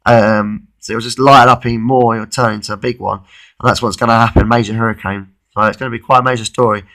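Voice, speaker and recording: A man of thirty-one, losing his voice with a cold, gives an informal, halting weather forecast commentary and often repeats himself. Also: medium pitch, steady tone, a bit bright. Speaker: amateur weather vlogger.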